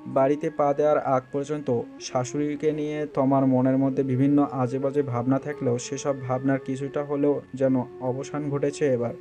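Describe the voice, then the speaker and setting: Male narrator, mellow tone, scripted romantic storytelling over faint music. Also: medium pitch, flat delivery, moderate pace.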